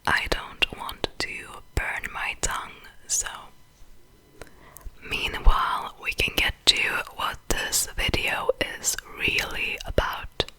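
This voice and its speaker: A young woman whispers with a Swedish accent, every word breathy and unvoiced. Her delivery is slow and deliberate. The whisper sounds bright and airy, sliding up and down in a lively way. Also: low-quality recording. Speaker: ASMR creator. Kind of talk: ASMR whispering.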